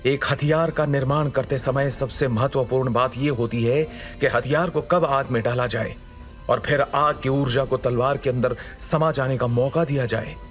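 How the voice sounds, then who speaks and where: Male fantasy story narrator over soft music. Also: mid-range voice, fairly even intonation, normal speed. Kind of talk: dramatic scripted fantasy narration. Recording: music behind the voice. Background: background music.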